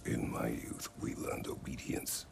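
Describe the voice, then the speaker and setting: An actor playing a stern, gruff, commanding warrior father in a video game, gravelly, terse and grave. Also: measured pace, background noise, natural voice. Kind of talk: video game character acting.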